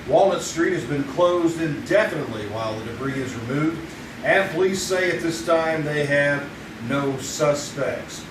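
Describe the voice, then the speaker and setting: A man plays a 1950s radio newsreader with a brisk, formal announcer delivery. The voice is fairly high. The recording is live and echoey, heard from far off over room noise. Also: lightly acted. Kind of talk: 1950s radio news bulletin. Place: live theater stage.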